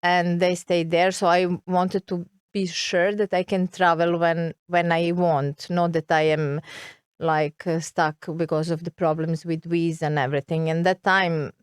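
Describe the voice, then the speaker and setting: Woman, Serbian accent, middle-aged, unhurried and halting, smooth clear voice, dry close mic. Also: on the low side, flat delivery, dry recording. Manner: hesitant, searching for words with many fillers. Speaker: guest. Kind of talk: interview conversation.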